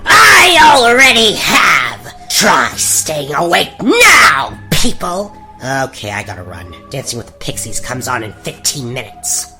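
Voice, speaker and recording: A woman's voice: low-pitched, huge pitch swings, slow pace, few pauses, exaggerated voice. A woman voicing a vengeful, sassy wicked fairy witch with sarcastic, theatrical monologues in a fairy-tale parody radio play. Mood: gloating. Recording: music bed behind voice.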